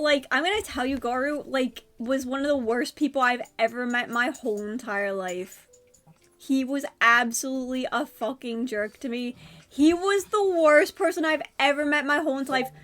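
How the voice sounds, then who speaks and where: A woman's voice: high-pitched, normal speed, a bit bright. A young woman with a stuffy nose from a cold, rambling in a casual vlog from her bedroom with many fillers and restarts. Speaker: vlogger.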